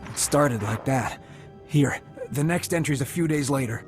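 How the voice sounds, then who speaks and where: A man's voice: medium pitch, moderate pace, faint music behind, lightly acted. A man playing an anxious, lonely stranded survivor in a video game, hesitant and earnest in his speech. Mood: reflective.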